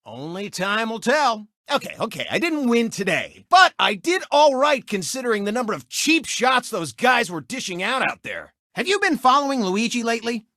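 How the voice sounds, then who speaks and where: Cocky cartoon race car, bright forceful voice, very animated delivery, smug sportscaster showboating, mocking, video game character. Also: male voice, very high-pitched, moderate pace, no echo, studio quality, heavily acted. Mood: annoyed. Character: brash gloating male race car turned sportscaster. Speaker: racing show host.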